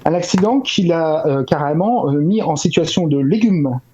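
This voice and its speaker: Muffled, low-fidelity audio carries a man with a high, mellow voice. He is a panelist on a chat show, passing on news in an informal way. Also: lively intonation, moderate pace, slight background noise. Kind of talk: casual chat, relaying news.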